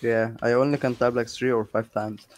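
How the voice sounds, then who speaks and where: A young man chatting casually over a headset while gaming, provocative, excitable and laughing often. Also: mid-range voice, moderate pace. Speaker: gamer streaming his match.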